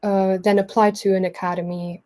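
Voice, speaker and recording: A woman's voice: medium pitch, little pitch movement, moderate pace, mellow. A woman in her early twenties from Spain, with a Spanish accent, telling her own life story informally as a guest, chatty and self-effacing. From Mallorca, Spain. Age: early 20s. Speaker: guest sharing a personal testimony.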